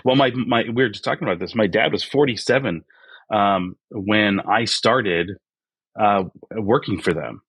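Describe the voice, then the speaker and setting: A man's voice: lowish voice, normal speed, a bit bright, slightly rough, dry recording. A man in his forties, a podcast guest, talking earnestly with many fillers and restarts. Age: 40s. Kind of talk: reflective interview talk.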